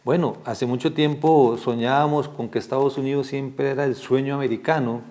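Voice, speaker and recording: A man's voice: mid-range voice, steady tone, moderate pace, a little mellow. A man hosting an interview program, speaking in a formal, wordy way with long introductions. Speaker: program host.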